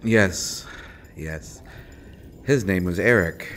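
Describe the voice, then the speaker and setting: A menacing male assassin from an audio roleplay, acted by a man, speaks in a very deep voice at a slow, deliberate pace. He is brooding and sardonic, leaving dramatic pauses as he mocks. Faint ambient noise sits behind the voice. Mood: sardonic, taunting.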